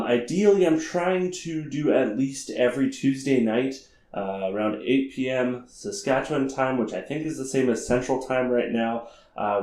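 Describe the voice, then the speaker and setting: A man's voice: medium pitch, darkish tone. A man hosting an informal explainer video, talking in a wordy, meandering way. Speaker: video creator and host. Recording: roomy echo.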